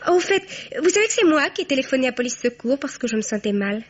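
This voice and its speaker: High-voiced young blackmailer, dull recording. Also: female voice, very animated, quick pace. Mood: taunting, insinuating. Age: young adult. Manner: chatty, insinuating, mock-innocent. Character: cheeky young woman blackmailer, taunting and sly. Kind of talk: French radio crime drama acting. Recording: dull, band-limited radio sound.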